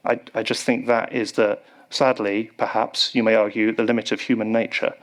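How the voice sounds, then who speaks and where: A man on a conference panel giving his view in a measured, pragmatic way, thinking out loud. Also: on the low side, flat delivery. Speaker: panelist, tech executive. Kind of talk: panel discussion. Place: conference hall.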